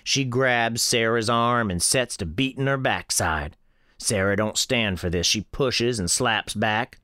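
A woman's voice: a bass voice, a little slow, a bit bright, dry recording, studio quality. A woman narrating a scripted first-person story with homespun, folksy Southern storyteller phrasing.

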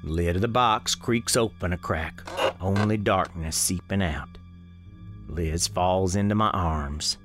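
Slow, folksy Southern storyteller. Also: male voice, low-pitched, music in the background. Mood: tense, grave. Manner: measured, ominous storytelling. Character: folksy, grave storekeeper narrating an eerie ghost tale. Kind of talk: scripted dramatic narration, radio drama.

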